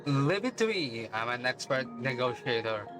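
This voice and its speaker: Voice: mid-range voice, big pitch swings, normal speed, over music. A game streamer of androgynous voice giving casual reaction commentary, teasing and mock-scolding in slang.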